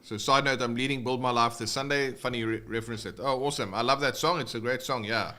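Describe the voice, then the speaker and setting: Casual South African male guitar teacher, bright tone, loose and rambling on a livestream. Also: mid-range voice, quick pace. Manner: loose and rambling, many fillers and restarts.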